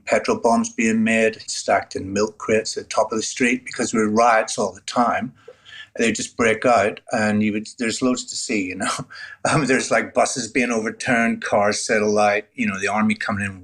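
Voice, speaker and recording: A man in his sixties from Northern Ireland, a guest telling his life story in a relaxed, conversational way, full of "you know". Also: fairly low pitch. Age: 60s. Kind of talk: candid storytelling.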